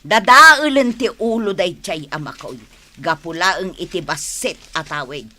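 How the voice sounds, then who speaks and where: A woman's voice: fairly low pitch, expressive, a little slow, sharp tone. A woman playing an indignant, meddling aunt in a radio drama, speaking emphatically with an Ilocano accent.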